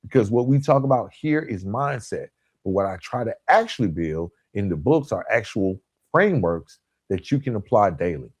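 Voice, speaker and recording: Male podcast host, lowish register, close dry sound. Motivational coach delivery, emphatic and direct, repeating key phrases. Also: lively intonation, normal speed, darkish tone, husky, dry recording. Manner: direct, addresses listeners informally.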